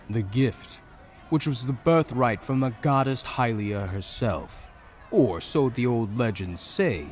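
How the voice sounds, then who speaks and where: A man narrating a scripted fantasy audio drama in a dramatic storytelling style. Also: mid-range voice. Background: music.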